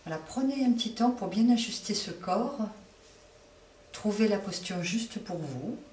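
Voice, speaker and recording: A woman leading a guided relaxation as a yoga nidra teacher, speaking softly and unhurriedly with long pauses between phrases. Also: mid-range voice, lively intonation. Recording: roomy echo, distant mic, faint noise.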